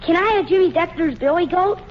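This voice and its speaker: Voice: very high voice, fairly quick. A performer playing an eager, wheedling young son in a 1940s radio comedy, whiny when refused. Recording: muffled, noisy old radio recording.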